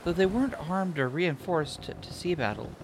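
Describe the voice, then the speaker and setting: A man plays a young shipwright in an audio drama, pitched up from his natural voice. The character is blunt, proud and quick to snap, full of opinions. There is clear background noise. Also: lively intonation. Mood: animated, scornful. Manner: snappy, opinionated.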